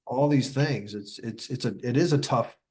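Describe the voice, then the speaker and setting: A man's voice: medium pitch, moderate pace, darkish tone. A man speaking as an author guest in a casual interview, stringing thoughts together loosely and repeating words. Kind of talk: casual, reflective conversation.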